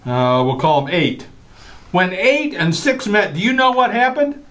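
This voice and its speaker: A woman's voice: lowish voice, sing-song, a little slow, fairly bright tone. A woman acting as an exasperated, scolding father, stern and flustered, in an old-time radio comedy. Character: Daddy, exasperated scolding father of a little girl.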